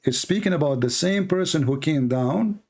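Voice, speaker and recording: A man's voice: fairly high pitch, animated, moderate pace, darkish tone. A man whose first language is Arabic, hosting a heated debate and pressing his points insistently, repeating himself and cutting in. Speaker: debate host. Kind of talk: argumentative debate.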